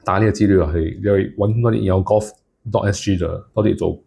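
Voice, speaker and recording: A man's voice: lowish voice, fairly even intonation, fast talker, gravelly, mellow, a little hiss or hum. A man giving casual advice to viewers as a solo content creator, mixing English words into his speech.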